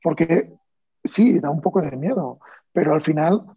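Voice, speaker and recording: A man's voice: fairly high pitch, lively intonation, measured pace, mellow, slightly rough, no room sound, muffled recording. A man from Spain with a Spanish accent, speaking as a panelist in a panel discussion in a conversational way, with fillers and restarts.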